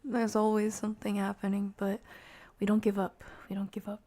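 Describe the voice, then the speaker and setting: A woman streamer chatting casually to viewers in a soft, breathy, often half-whispered voice with a gentle, unhurried manner. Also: mid-range voice, monotone. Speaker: game streamer and host. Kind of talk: casual livestream chat to viewers.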